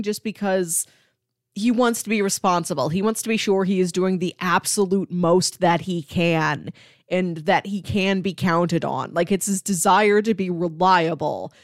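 A woman's voice: medium pitch, moderate pace, dry recording, clean studio sound. A woman co-hosting a podcast, discussing comics casually and articulately, building points in parallel phrases. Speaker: podcast co-host.

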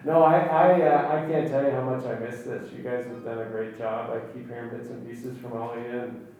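An older man speaks casually in halting phrases, with a mellow, even voice. He is recorded at a distance in a very reverberant space with faint rumbling noise. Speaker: theatre group member. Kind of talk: casual informal talk. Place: echoey room, far from mic. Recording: very echoey, distant mic, noisy rumble.

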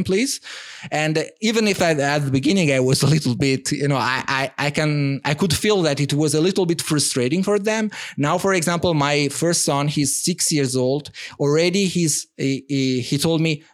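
A man in his thirties with an Italian accent, a native Italian speaker answering interview questions in a hesitant, earnest way with many restarts. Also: a little high. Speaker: podcast guest.

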